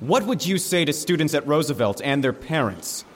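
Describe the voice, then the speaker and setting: An actor playing a persistent, probing news reporter at a press conference in an audio drama. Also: male voice, fairly high pitch, big pitch swings, moderate pace. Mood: insistent. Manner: insistent, probing. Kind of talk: full-cast audio drama. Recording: reverberant press room.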